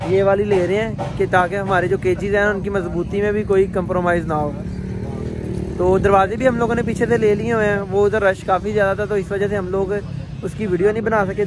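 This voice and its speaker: A young man with a Pakistani Punjabi accent, talking casually to camera as a vlogger. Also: high-pitched. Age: young adult. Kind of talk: casual vlog talk to camera. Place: outdoors by roads, brick yards and shops. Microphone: phone at arm's length. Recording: clear outdoor traffic and ambient noise.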